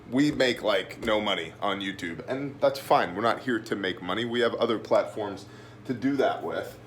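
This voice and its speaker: A man's voice: on the low side, a bit bright, slight background noise. A man hosting an informal video class, talking in a blunt, slangy, emphatic way with a slightly phlegmy voice. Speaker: host of prepper video class. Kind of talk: informal lesson with whiteboard, to camera.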